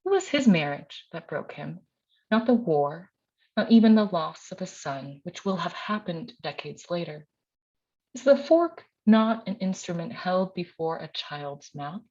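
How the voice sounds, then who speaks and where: A woman's voice: on the low side, lively intonation, measured pace, darkish tone. A middle-aged woman poet and teacher giving a reading of her work with informal, self-deprecating remarks. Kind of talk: poetry reading with casual introductory remarks. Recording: dull, lo-fi sound.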